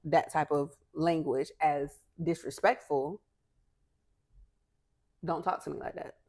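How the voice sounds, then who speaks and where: A woman's voice: deep voice, takes it slowly, breathy edge. A young adult woman co-hosting a podcast, talking animatedly and asking questions in casual chat. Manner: animated, questioning, laughs often. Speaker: podcast co-host.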